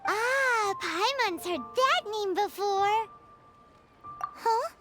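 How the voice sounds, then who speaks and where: A tiny fairy girl chatters cheekily in a very high, squeaky voice that leaps about. Loud game music plays behind her. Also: expressive, very slow, full character voice. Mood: chatty. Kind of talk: game voice acting.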